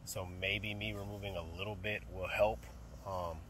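A man's voice: on the low side, level tone, normal speed, fairly bright tone, slight background noise. A young man working as an HVAC technician, talking through a service call in a casual vlog, thinking aloud with many fillers and restarts. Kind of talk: casual work vlog narration. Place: outdoors by an AC unit and indoors in a closet. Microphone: phone camera held close.

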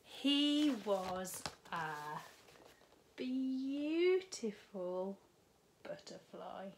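A woman's voice: high voice, background noise. A woman, a primary school teacher reading a picture book aloud to children from her living room. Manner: slow, expressive storytime reading with lively rises on key words. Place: living room at home, indoors.

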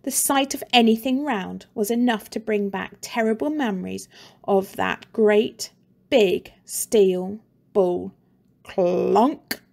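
A woman teacher reading a children's story aloud in a slow, expressive and playful way. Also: mid-range voice, gravelly.

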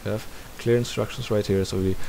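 Man, low-pitched voice, casual tutorial narration, thinking out loud, faint hiss. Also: a little fast, creaky. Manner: thinking aloud step by step. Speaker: tutorial presenter. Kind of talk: casual screen-recorded game tutorial. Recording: steady hiss behind the voice.

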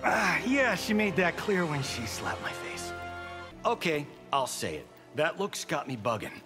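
A flirtatious action-star fighter for a video game. The voice is fairly high and very animated, full of slangy swagger and joking. Music plays underneath. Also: male voice, unhurried. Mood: brash exasperation. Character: cocky, flirtatious movie-star martial artist, brash wisecracker.

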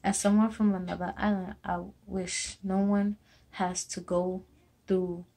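A young woman from Jamaica with a Jamaican accent, slowly reading a personal essay to a webcam in a small room at home. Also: medium pitch, level tone, dark tone. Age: young adult. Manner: slow, halting reading with restarts. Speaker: student. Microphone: webcam or laptop camera close up.

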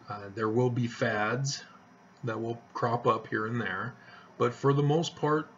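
A man's voice: mid-range voice, highs cut off, a little hiss or hum. A middle-aged man talking casually to camera from a small living room, rambling and earnest, with restarts and chuckles. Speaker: vlogger. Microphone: webcam at desk distance.

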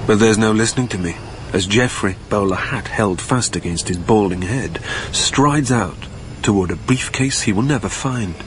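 An actor voices a wistful dead man telling his own story in a radio play. His voice is on the low side, brooding and literary, with clear ambient noise behind. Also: normal speed, lightly acted. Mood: resigned. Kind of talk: dramatic first-person scripted narration.

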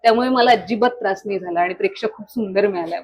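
A woman's voice: medium pitch, fast talker. A young woman from coastal Konkan, earnestly explaining her work in a conversational interview. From Sindhudurg, Konkan, India. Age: young adult. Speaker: playwright and theatre artist being interviewed.

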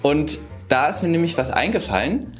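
A young man tells a story in a loose, casual way. The room adds a clear echo, and music plays behind him. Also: fairly high pitch, animated, normal speed. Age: young adult. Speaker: YouTube presenter. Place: indoor room. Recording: roomy echo. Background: background music.

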